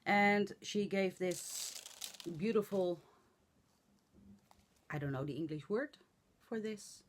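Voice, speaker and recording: A middle-aged woman with a Dutch accent, speaking English as a second language, chatting in a hoarse voice to camera in a rambling, halting way with many fillers. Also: medium pitch, a little hiss or hum. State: hoarse from laughing and talking. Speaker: flosstube vlogger. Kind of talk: casual chat to camera.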